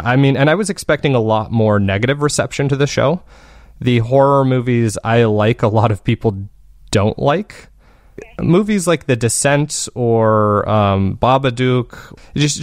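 A man's voice: mid-range voice, dry recording, clean studio sound. A man talking casually as an interview guest, explaining things in a rambling, self-correcting way. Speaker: podcast creator interviewed as guest.

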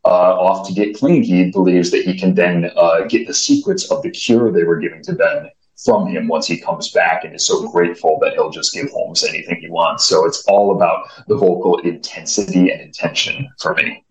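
A young man with a deep voice, an actor explaining his craft in a measured, emphatic way, recorded in an echoey room. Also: fairly even intonation. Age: young adult. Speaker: voice actor being interviewed. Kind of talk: explaining in an interview.

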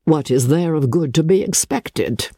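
A woman voicing a calm, resigned older gentleman father in a classic novel, measured and wry. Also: low voice, husky, no room sound, studio quality, exaggerated voice.

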